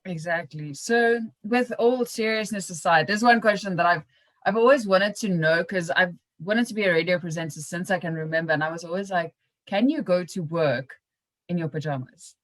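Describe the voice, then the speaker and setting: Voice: mid-range voice, normal speed, fairly bright tone. A podcast host, asking questions in an informal, chatty interview style. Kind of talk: casual chat interview.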